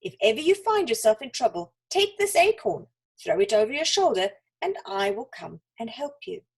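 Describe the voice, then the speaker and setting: A woman's voice: fairly high pitch, sing-song, moderate pace, husky, heavily acted. A woman voicing a small, anxious, helpful squirrel in a children's story, with a chirpy, yappy voice. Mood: grateful, earnest.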